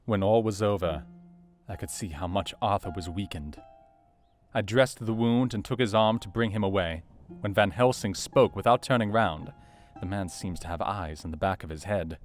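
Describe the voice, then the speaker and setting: A man playing a serious, anxious Victorian doctor, narrating his diary in a measured, formal literary manner for an audio drama. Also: fairly low pitch, natural voice. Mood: grave, anxious. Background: faint plucked guitar music.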